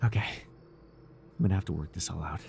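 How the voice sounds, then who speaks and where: A man voicing a devoted, nervy, lovestruck cultist in an ASMR roleplay, earnest and halting, shifting between grand and flustered delivery. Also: low-pitched, creaky, warm tone, a little hiss or hum. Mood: earnest, scheming. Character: devoted, awkward young male cultist, zealous, lovestruck, nervy.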